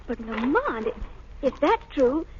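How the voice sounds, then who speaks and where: A woman's voice: high-pitched, very animated, unhurried, a little mellow. A woman playing a curious, earnest young lady companion to a detective in a radio drama. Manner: polite, earnest, inquisitive. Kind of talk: old-time radio drama acting. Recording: dull radio recording with faint noise.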